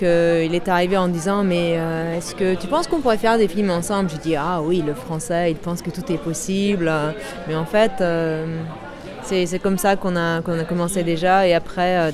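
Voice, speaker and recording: Lower-voiced woman, crowd behind. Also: steady tone, over music. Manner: measured, frequent fillers. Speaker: filmmaker and economist. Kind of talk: interview answers. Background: crowd noise.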